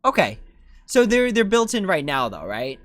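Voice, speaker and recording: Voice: mid-range voice, huge pitch swings, moderate pace, a bit bright, dry recording. A game streamer giving casual live commentary in an informal, self-questioning way. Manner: informal, self-questioning, often ends with "right?".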